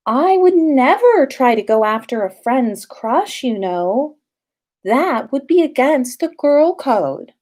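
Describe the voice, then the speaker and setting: A woman voicing a serene, whimsical teenage schoolgirl from a storybook, gently teasing. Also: high voice, very animated, takes it slowly, voice pushed up, exaggerated voice. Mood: friendly.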